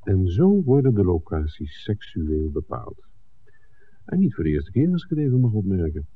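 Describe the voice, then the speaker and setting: Deep, husky, swooping wry screenwriter. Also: male voice, very animated, normal speed, no echo, heavily acted. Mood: teasing. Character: wry, teasing male screenwriter, good-humored companion. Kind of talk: 1960s Dutch radio drama acting. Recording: muffled, narrow old radio recording.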